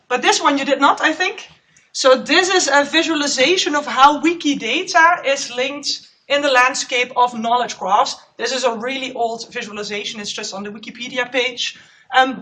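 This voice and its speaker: A woman postdoc researcher giving a chatty conference talk in a lecture room, with a loud voice and a clip-on microphone. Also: sits high, distant mic. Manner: loud, chatty, self-aware.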